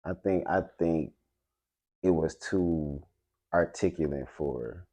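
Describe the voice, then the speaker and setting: A man's voice: extremely low pitch, takes it slowly, warm tone. A man hosting a casual interview podcast, asking eager, rambling questions and agreeing often. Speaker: podcast host.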